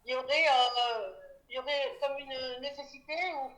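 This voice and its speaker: Woman host, kinda high voice, lots of euh, roomy echo. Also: little pitch movement, fairly bright tone. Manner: halting, many fillers, thoughtful. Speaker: podcast host. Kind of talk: interview questions.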